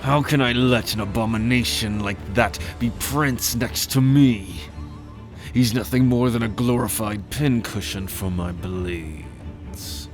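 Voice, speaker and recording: A man's voice: on the low side, lightly acted. A man playing a cruel, arrogant merman prince in a fantasy audio drama, taunting with haughty contempt.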